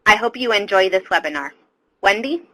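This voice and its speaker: A woman's voice: medium pitch, expressive, a little fast, a bit bright, poor audio. A woman reads polite, scripted housekeeping notes at the start of a webinar as its moderator.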